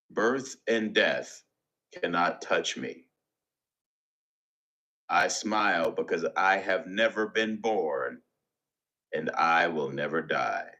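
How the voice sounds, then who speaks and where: A man reads a guided meditation in a very deep voice with a bright, forceful edge. He moves extremely slowly, setting down short phrases with deliberate pauses. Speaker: meditation reader.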